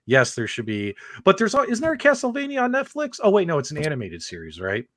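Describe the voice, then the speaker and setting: A man's voice: on the high side, huge pitch swings, fast talker, a bit bright, no room sound, studio quality. Man hosting casually with an enthusiastic delivery. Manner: enthusiastic, repeats phrases for emphasis. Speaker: host of a video game talk show. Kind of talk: casual livestream chat.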